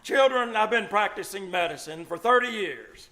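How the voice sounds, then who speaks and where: A man's voice: high voice, lively intonation, moderate pace, pushed and bright. A man playing a wry, teasing veteran small-town doctor in a live old-time radio comedy. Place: live stage performance at a venue.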